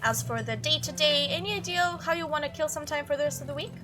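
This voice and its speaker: A woman's voice: sits high, fast, over music, natural voice. A woman voicing a chatty, teasing young small-town woman in a visual novel, with a playful, folksy, rambling manner. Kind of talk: visual novel character read aloud.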